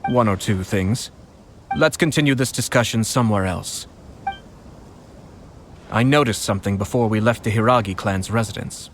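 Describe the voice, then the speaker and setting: An actor voicing a young nobleman who heads his clan, speaking in a measured, polite and quietly calculating way. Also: fairly low pitch, slight background noise, close to an everyday voice. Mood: thoughtful. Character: young nobleman clan head, calm, shrewd, courteous. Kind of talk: game voice acting.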